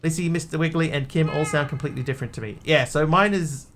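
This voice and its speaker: A man's voice: fairly high pitch, fast, a bit bright, slight background noise. A man with a mixed Australian and New Zealand accent, chatting casually as a game streamer and answering his chat. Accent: Australian-New Zealand hybrid. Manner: rambling, easygoing, answers chat.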